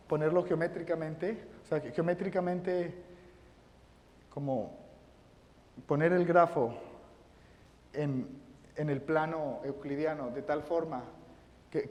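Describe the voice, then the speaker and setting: A man giving a math lecture, halting and often repeating his words. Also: on the high side, darkish tone. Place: lecture room. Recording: reverberant lecture hall.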